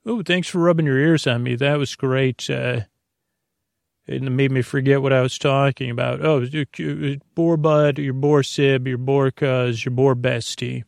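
A man hosting a bedtime podcast in a creaky voice, rambling in a halting, meandering, whimsical monologue that keeps interrupting itself. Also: mid-range voice, steady tone, darkish tone, no echo, studio quality. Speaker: podcast host.

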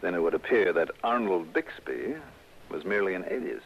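An American actor playing a shrewd, brisk insurance investigator in a 1960s radio detective drama, speaking crisply and deliberately. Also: male voice, low-pitched, lively intonation, slight background noise. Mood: gently probing. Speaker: lead actor and narrator. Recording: dull old radio broadcast, music behind.

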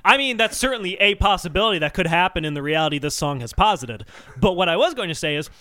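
A man's voice: sits high, lively intonation, quick pace, sharp tone, dry recording, studio quality. A man co-hosting a comedy podcast in casual banter, playfully over-analysing as he talks.

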